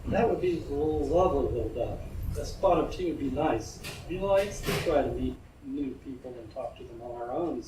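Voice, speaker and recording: A man puts on an eccentric woman who chats to herself, soft and slow with an odd lilt. The voice sounds distant in an echoey room. Noise runs beneath. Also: medium pitch, animated. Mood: dreamy. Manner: dreamy, odd, heavy-accented, stammering.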